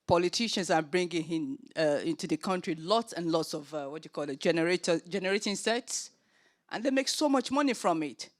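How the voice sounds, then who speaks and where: A woman with a Nigerian accent chairing a forum panel, speaking earnestly with frequent filler pauses. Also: lowish voice. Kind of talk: opening remarks at a forum panel.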